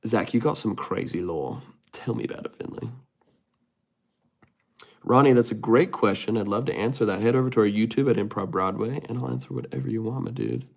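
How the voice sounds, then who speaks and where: A man's voice: mid-range voice, brisk, gravelly. A man hosting a casual livestream, reading viewer comments aloud and answering them in a rambling way with many restarts. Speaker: livestream host reading chat.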